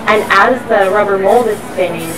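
A woman's voice: mid-range voice, normal speed. A woman explaining things step by step as a factory tour guide. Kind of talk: explanatory walk-through tour. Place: busy factory floor. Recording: factory noise, somewhat distant mic. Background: machinery and workshop noise.

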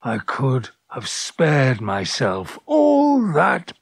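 A man's voice: mid-range voice, wildly swooping pitch, extremely slow, creaky, no room sound, studio quality, full character voice. An actor playing a regretful, outspoken old man looking back on his life in an audio drama. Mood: rueful.

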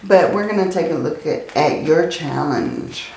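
A woman reading tarot cards in a slow, soothing way, her voice tired and strained from many readings. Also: low voice, very animated, vocal fry, a little mellow, echoey room, slight background noise, rough recording. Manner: slow, soothing, often adds "okay?" and "I feel like". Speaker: tarot reader. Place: indoors at a table, hands seen handling cards.

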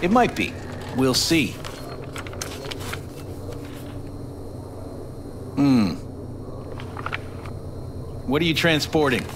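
An actor voicing a smug, menacing customs officer with a sardonic, deliberate manner in video game dialogue. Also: male voice, mid-range voice, animated, background noise. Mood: coolly suspicious.

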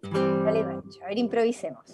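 A woman's voice: medium pitch, normal speed, over music. A young woman with a Chilean accent, guiding a workshop on a video call in an encouraging, informal way. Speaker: radio theatre workshop leader. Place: indoors at home, on a video call.

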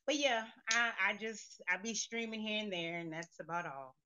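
Woman, dating show co-host, chatty and casual, bright voice. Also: medium pitch, moderate pace, slight background noise. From Germany, raised in Panama. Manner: chatty, casual, soft-spoken asides. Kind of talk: casual chat.

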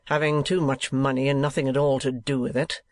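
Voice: mid-range voice, flat delivery, a little fast, no room sound, natural voice. A performer voicing a cold, testy old uncle in a Victorian novel, growling and sneering curtly. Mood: cold, sarcastic.

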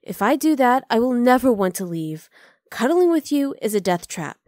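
A woman's voice: on the high side, sing-song, moderate pace, darkish tone, dry recording. Close, dry, teasing half-dragon girlfriend voiced by a woman. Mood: coaxing, teasing. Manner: casual, coaxing, affectionate teasing. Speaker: ASMR roleplay voice actor. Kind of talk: ASMR roleplay acting.

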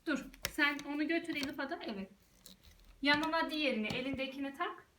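High-voiced encouraging mother, distant and echoey. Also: brisk. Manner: encouraging, short praising prompts. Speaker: mother guiding her young child and presenting to viewers. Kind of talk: casual play commentary and instructions to a child. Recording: roomy, distant mic, clear background noise.

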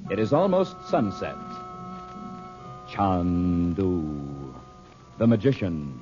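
A man announcing an old-time radio show in an emphatic, deliberate scripted style. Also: low-pitched, sing-song. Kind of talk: 1930s-40s radio announcing, scripted sales pitch and narration. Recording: muffled noisy old radio, loud music.

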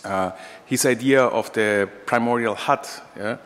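Low-voiced Austrian man lecturing in a reverberant hall. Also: unhurried, a bit bright. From Austria, raised in Vienna. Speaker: architecture professor and practicing architect. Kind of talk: lecture to an audience. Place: large room, indoors, at a lectern. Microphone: gooseneck lectern microphone.

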